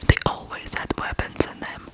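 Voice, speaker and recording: A person from Ukraine telling stories in whispered ASMR style, softly and deliberately, pausing between short phrases. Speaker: ASMR true-crime host.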